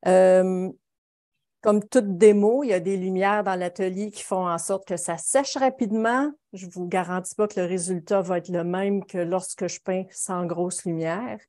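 A woman's voice: on the low side, fairly even intonation, a little slow, warm tone. A middle-aged woman with a Quebec French accent gives an informal live painting lesson. She explains her method in a chatty, conversational way, speaking from her studio over a video call. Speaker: watercolour painting instructor. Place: home studio, indoors.

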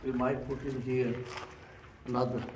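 Man giving a halting tour, echoey distance. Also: mid-range voice, level tone. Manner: halting, fills pauses with "you know". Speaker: tour guide. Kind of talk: guided walking tour. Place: unfinished concrete building, indoors and out. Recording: echoey, distant, noisy background.

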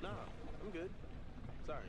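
A man's voice: moderate pace, very noisy, lightly acted. An actor playing an earnest, protective young male diver in a video game. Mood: casual. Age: young adult. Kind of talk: naturalistic video game acting.

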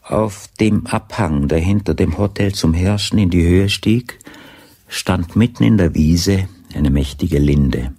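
An actor narrating a crime radio drama as an unhurried storyteller, measured and deliberate in his literary delivery. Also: sits low, warm tone, husky, dry recording, exaggerated voice.

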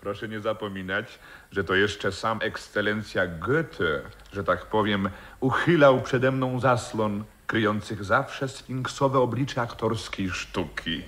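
Florid, bright-voiced old gentleman actor. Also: on the low side, slow pace. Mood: courtly, nostalgic. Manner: florid, pompous. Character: courtly, theatrical elderly gentleman, veteran actor. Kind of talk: radio play acting.

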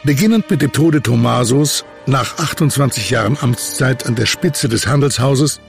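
A man narrating an audio drama from a script in a measured, grave, literary manner. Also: medium pitch, gravelly, faint music behind.

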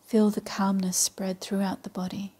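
A woman with a soft, breathy voice leading a guided meditation in a calm, measured way with long pauses. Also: mid-range voice. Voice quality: soft, breathy, partly whispery. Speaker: yoga nidra meditation guide. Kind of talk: guided meditation, slow and soothing instruction.

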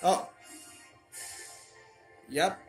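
A person voicing an excitable young turtle plush reacting to a video in halting, repeated exclamations. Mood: concerned, surprised. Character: young male Bowser Junior plush reacting, excitable, earnest. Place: indoor room at home. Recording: music from laptop behind the voice.

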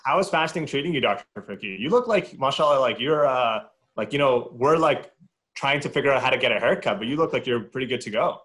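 A young man who talks fast and rambles with lots of fillers while co-hosting a podcast interview. His pitch sits in the middle. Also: expressive, a bit bright. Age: young adult. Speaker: podcast co-host.

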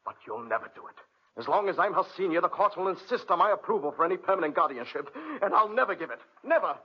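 A hot-headed young man in an old radio drama, urgent and indignant. He talks fast and with lively swings in a rough-edged voice, on a slightly muffled recording. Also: high-pitched. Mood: angry, accusing. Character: hot-headed, accusing young brother protecting his sister. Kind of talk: 1940s radio acting.

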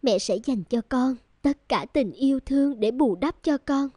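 A woman voicing a tender, caring young woman in a cartoon family drama, gentle and heartfelt. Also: high voice, moderate pace, no echo, studio quality.

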